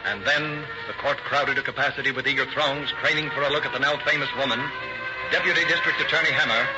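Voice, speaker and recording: An actor playing a grave narrator of a crime case, reading formally and dramatically in vintage radio style. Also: male voice, mid-range voice, fairly even intonation, quick pace, lightly acted. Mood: grave, dramatic. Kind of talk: scripted narration, 1940s radio. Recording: muffled old radio recording. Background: loud dramatic music.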